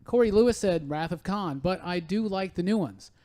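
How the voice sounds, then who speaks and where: A man hosting a casual podcast chat, telling stories in an animated, quote-filled way. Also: sits high, moderate pace. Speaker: podcast host.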